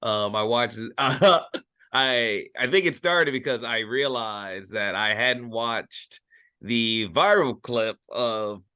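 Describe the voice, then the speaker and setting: A man's voice: medium pitch, takes it slowly, pushed and bright, clear, resonant tone, no room sound. A young American man chatting casually as a podcast co-host, in a hesitant, agreeable way. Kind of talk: casual chat.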